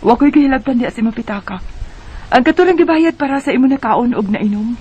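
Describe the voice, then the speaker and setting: An actress playing a kind, frank restaurant waitress in a Bisaya radio drama, matter-of-fact, with a Filipino accent. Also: female voice, fairly high pitch, lively intonation, fast, warm tone, natural voice. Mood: explaining. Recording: dull lo-fi sound, faint noise.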